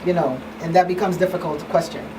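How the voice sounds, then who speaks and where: A woman hosting an earnest conversational discussion, halting and repeating words as she poses rhetorical questions. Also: fairly low pitch. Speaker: talk show host and crisis counselor. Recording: distant mic, clear background noise.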